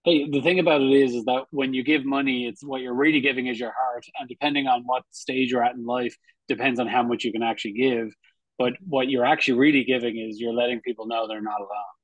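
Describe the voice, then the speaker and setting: A man's voice: medium pitch, fairly even intonation, fast talker, dry recording, dull audio. A man in his forties hosting a conversational interview over a video call, chatty and affirming. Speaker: show host, interviewer. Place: room at home, video call.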